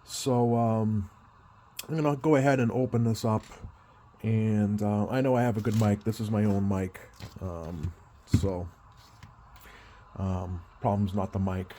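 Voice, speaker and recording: A man's voice: lowish voice, steady tone, measured pace, dark tone. A man giving a casual hands-on repair walkthrough as a technician, thinking aloud hesitantly at a workbench. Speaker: CB radio repair technician demonstrating. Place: indoor workbench. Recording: clear background noise.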